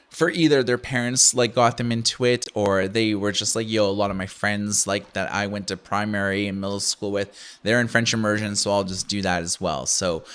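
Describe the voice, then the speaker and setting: A man with a Canadian accent hosting a casual, unscripted tech show, talking in a slangy, halting, rambling way. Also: fairly low pitch, fairly bright tone, clean tone. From Ontario, Canada. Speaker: podcast host and product reviewer.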